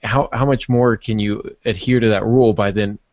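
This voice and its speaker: A man's voice: lowish voice, steady tone, moderate pace, no room sound, muffled recording. A man co-hosting a casual book discussion in an agreeable, conversational way. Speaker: podcast co-host.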